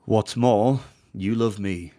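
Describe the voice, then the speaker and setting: A man playing a controlled, treacherous Sith officer in an audio drama, with formal, measured and assertive speech. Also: on the low side, mellow, no echo, studio quality. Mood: assertive, seductive. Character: controlled, formal male Sith officer, lover turned betrayer.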